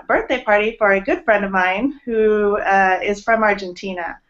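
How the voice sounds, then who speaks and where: A woman's voice: medium pitch, a bit bright. A woman in her forties from Los Angeles, a guest artist chatting casually in an interview with many ums and laughs, on a video call from her home studio. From Los Angeles, USA. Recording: muffled video call audio.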